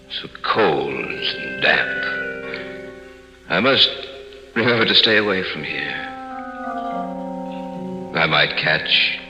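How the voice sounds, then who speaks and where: An actor playing a cold, vengeful murderer, theatrical and deliberate, recounting his crime in a 1950s radio horror play. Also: a bass voice. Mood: dark mock concern. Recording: old radio broadcast recording, loud music.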